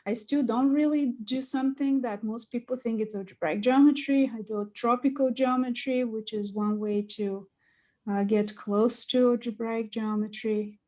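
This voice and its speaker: Woman, halting and high. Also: fairly even intonation, darkish tone. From Bulgaria. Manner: halting, with many ums and uhs. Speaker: mathematics professor, panelist. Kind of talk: personal story on a panel.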